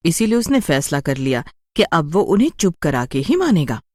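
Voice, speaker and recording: A woman narrates a scripted story with dramatic flair. Her voice is low and moves widely in pitch, in a dry, close recording. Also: sing-song, moderate pace, darkish tone, no echo.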